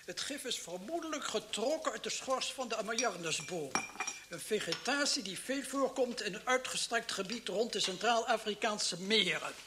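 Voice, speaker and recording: An actor playing a muddled, pedantic toxicology professor in a vintage radio play, halting and repeating himself. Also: male voice, sits high, expressive. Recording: noisy old radio drama recording.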